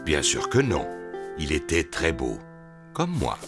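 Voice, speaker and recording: A man's voice: a bass voice, wildly swooping pitch, takes it slowly, over loud music, full character voice. A man voicing a good-natured, boastful daddy pig in a French children's cartoon, blustering and a little hesitant. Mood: jovial storytelling. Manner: blustering, hesitant when caught out.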